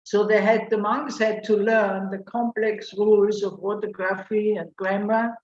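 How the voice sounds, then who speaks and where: A woman from Austria giving an academic paper presentation over a video call, explaining in a measured way with some fillers. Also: medium pitch, level tone, a little mellow. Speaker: conference speaker. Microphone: computer mic on video call. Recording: roomy lo-fi video call audio with faint noise.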